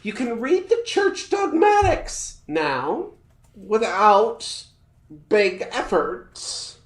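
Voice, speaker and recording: A woman's voice: medium pitch, very slow, roomy sound. A woman in her thirties, a podcast co-host telling a self-mocking story in casual chat. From United States. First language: English. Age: 30s. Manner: animated, self-mocking storytelling with frequent laughter.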